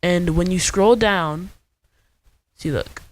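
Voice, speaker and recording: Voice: mid-range voice, slow. Casual young tutorial host, mid-range voice. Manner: informal, step-by-step instructing. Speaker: video host.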